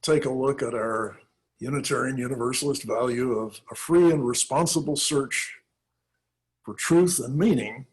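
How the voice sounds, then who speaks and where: A man, a minister, giving an informal talk on church history, thoughtful and halting with many pauses. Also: medium pitch, husky.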